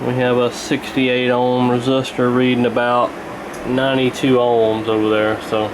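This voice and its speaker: A man narrating a repair casually in an unhurried, halting, matter-of-fact way. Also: mid-range voice, completely flat delivery, background noise. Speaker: radio repair hobbyist presenting.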